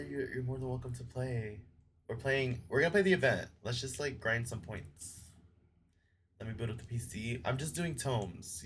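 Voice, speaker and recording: A man's voice: mid-range voice, slight background noise. A young man streaming a game and chatting with viewers, his voice weak from a recent illness and a lingering cough. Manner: chatty, friendly, greets viewers by name. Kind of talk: casual chat with live chat.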